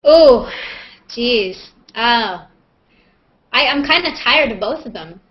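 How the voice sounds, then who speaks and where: A woman's voice: a little high, animated, takes it slowly. A woman chatting casually and playfully as she hosts a live question-and-answer video call. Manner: playful, joking, self-mocking. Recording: muffled, lo-fi internet video call.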